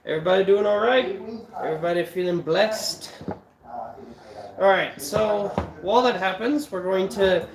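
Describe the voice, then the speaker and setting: A man's voice: high voice, normal speed, gravelly, crowd noise. Stuffy South African man, roomy sound. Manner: chatty, answers viewers, casual swearing. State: stuffy nose. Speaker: livestream cooking host. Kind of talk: casual live-stream chat.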